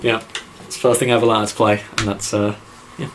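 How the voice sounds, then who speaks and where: Rambling young English guy, lowish voice. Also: level tone, fast talker, a little hiss or hum. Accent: English Midlands. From England, near Birmingham. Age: young adult. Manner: rambling, lots of fillers and "like", says so himself. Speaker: YouTube musician answering viewer questions. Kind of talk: casual vlog Q&A.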